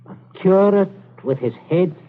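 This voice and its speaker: A controlling English family patriarch in a vintage radio thriller. His speech swings widely in pitch, stammers and doubles back, with false geniality over cold menace. The sound is phone-thin with light noise. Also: animated, measured pace, phone-quality sound. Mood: agitated scheming. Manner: stammering, repeating words. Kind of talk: 1940s radio acting. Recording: old radio broadcast, thin, noisy.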